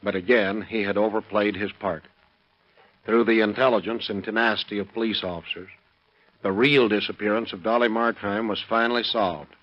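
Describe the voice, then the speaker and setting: Low-voiced man, solemn vintage radio commentary. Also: fairly even intonation. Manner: measured, solemn. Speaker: police chief giving closing commentary. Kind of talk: formal 1930s scripted radio narration. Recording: muffled vintage radio recording.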